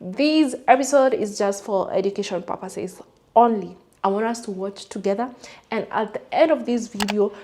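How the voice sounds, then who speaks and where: A woman's voice: medium pitch, darkish tone, a little hiss or hum. Chatty woman hosting a reaction channel. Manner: chatty, with frequent fillers like "uh" and "you know". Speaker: channel host. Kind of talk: casual reaction commentary.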